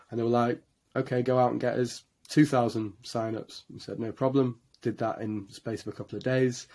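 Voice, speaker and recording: A man with a Yorkshire accent talks as a podcast guest, his voice staying flat and level in pitch. He fills his sentences with frequent uh and um as he tells his story. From Yorkshire, England. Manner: many uh and um fillers, flat delivery. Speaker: guest, marketing agency director.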